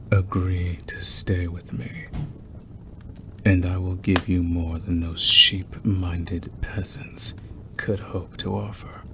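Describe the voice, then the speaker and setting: A man playing a possessive, seductive and menacing werewolf lover in an intimate ASMR roleplay, teasing in a breathy, gravelly murmur. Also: low voice, pushed and bright, a little hiss or hum, full character voice.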